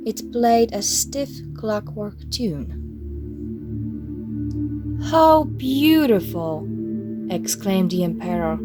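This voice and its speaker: A woman reads a children's story aloud at a slow, measured pace. Her delivery is expressive and scripted, made for listeners following a picture book. Music plays clearly behind her voice. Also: mid-range voice. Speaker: storybook reader.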